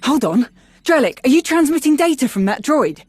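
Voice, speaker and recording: A woman playing a wary, sharp-tongued companion in a video game, blunt and skeptical. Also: high voice, sing-song, normal speed, dry recording. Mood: sharp, urgent.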